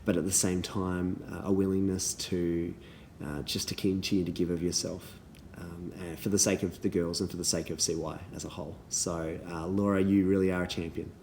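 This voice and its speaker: A man, a little hoarse from losing his voice, giving earnest thank-you messages to youth leaders with many ums and restarts. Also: deep voice, little pitch movement, moderate pace, a little mellow, slight background noise.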